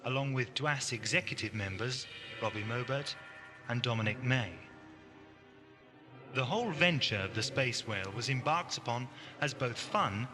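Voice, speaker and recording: A man reading a scripted introduction as an announcer. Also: mid-range voice. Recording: faint hiss on old cassette.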